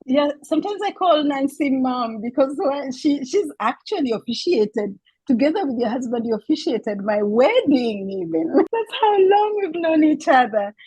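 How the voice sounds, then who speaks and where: A woman's voice: high-pitched, lively intonation, normal speed, mellow, dry recording, low-quality recording. A woman hosting a casual faith-based group chat, introducing friends and encouraging listeners. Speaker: host of a women's group talk.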